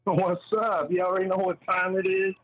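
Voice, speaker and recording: A man's voice: sits high, monotone, brisk, a bit bright. A man phoning a radio show as a guest, talking in casual slang. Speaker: call-in guest, music artist. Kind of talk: casual, slangy phone chat. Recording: very noisy phone call with clicks.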